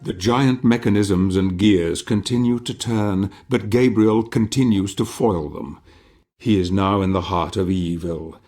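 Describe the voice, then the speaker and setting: An actor playing a solemn, ominous older storyteller, narrating a video game in a theatrical, measured manner. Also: male voice, on the low side, fairly even intonation, warm tone, natural voice. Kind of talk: scripted dramatic game narration.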